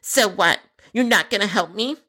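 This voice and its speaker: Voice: medium pitch, moderate pace, pushed and bright, slightly rough, pitched above the performer's own voice. A narrator voicing an angry, entitled female customer who whines and argues indignantly. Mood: outraged. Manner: whiny, combative, indignant.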